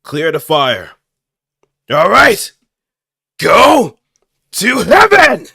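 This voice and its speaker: A man's voice: high-pitched, huge pitch swings, very slow, vocal fry, pushed and bright, slightly rough, no echo, studio quality, pitched above the performer's own voice, heavily acted. A man voicing a fierce, resolute anime mecha pilot, delivering melodramatic robot game battle lines in a declamatory way. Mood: battle fury. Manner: dramatic, declamatory.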